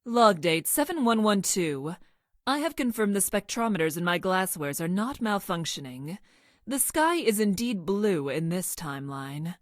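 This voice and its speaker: A woman playing a logical young woman scientist from the future in a video game, speaking in formal, clinical, precise deadpan. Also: medium pitch, measured pace, dry recording, clean studio sound, natural voice. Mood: clinical, curious. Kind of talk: video game character voice lines.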